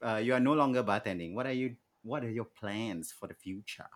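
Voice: mid-range voice, fairly quick. A podcast host who laughs often and asks prompting questions in casual chat.

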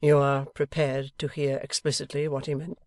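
A steady, affectionate young husband from a Victorian storybook as a man reads him. He speaks in formal, measured phrases with solemn emphasis. The pitch is middling and the sound close and dry. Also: no echo, close to an everyday voice. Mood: grave.

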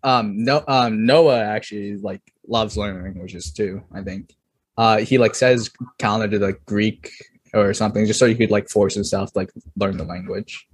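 A young American man in casual chat, halting and fumbling through his sentences with constant "like" fillers. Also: lowish voice, steady tone, breathy edge, dry recording. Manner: halting, heavy use of "like", trailing off.

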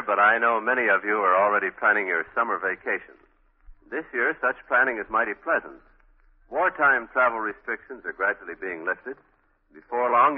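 A man with an American accent delivering a formal, polished sponsor message as a 1940s radio announcer. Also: mid-range voice. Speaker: commercial spokesman, announcer. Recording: thin, muffled old radio recording.

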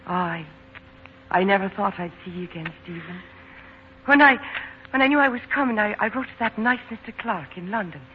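A woman's voice: mid-range voice, expressive, normal speed, lightly acted. Actress playing an eager, lilting Irish young woman. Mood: hesitant, tender. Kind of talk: 1940s radio acting. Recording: muffled, noisy 1940s radio recording.

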